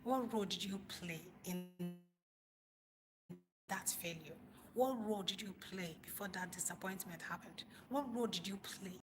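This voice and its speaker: A woman's voice: medium pitch, moderate pace, over music. A woman with a Nigerian accent hosting an informal motivational livestream, speaking emphatically and repeating phrases for stress. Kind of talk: informal motivational talk to live viewers.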